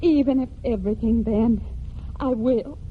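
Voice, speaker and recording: A woman's voice: a little high, normal speed, natural voice. An actress playing an earnest, strong-willed young country woman in love, in 1940s radio drama with plain rural speech and hesitations. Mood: tender, pleading. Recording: tinny, noisy 1949 radio recording.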